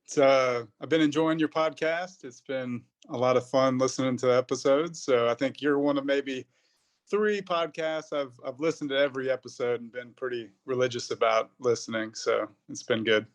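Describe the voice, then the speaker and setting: A man's voice: mid-range voice, fairly even intonation, normal speed. A man in his thirties, a pharmacist guest on a podcast, answering casually in a rambling way. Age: 30s. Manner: rambling, many fillers and restarts.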